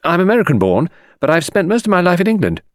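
A man's voice: high-pitched, very animated, quick pace, dry recording, studio quality, pitched above the performer's own voice. A man reading aloud, voicing an impudent, chattering young actress in her late teens. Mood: chatty. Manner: chattering, teasing, theatrical. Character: impudent cheeky young woman, teenage actress.

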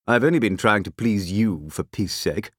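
A dignified man in a huff, from a storybook, acted by a male reader. He is pompous and sorry for himself, with wounded airs. His pitch rises and falls widely in a dry recording. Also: lively intonation, moderate pace, dry recording. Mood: wounded dignity. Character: dignified, huffy, self-pitying man. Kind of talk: audiobook character voice.

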